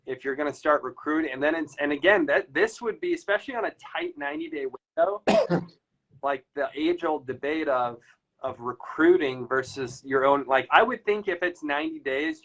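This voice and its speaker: A man's voice: fairly high pitch, expressive, normal speed, fairly bright tone. A man hosting a casual chat, talking loosely and rambling with lots of fillers. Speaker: podcast host. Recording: slightly dull lo-fi audio.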